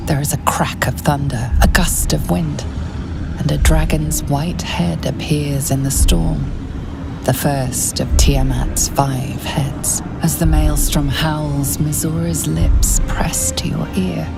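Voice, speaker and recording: Low, creaky, foreboding fantasy narrator. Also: female voice, fairly even intonation, full character voice. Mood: ominous, hushed. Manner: hushed, deliberate, foreboding. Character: ominous, hushed female narrator of dark fantasy visions. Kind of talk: scripted second-person game narration. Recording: loud dramatic music behind the voice. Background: loud cinematic music.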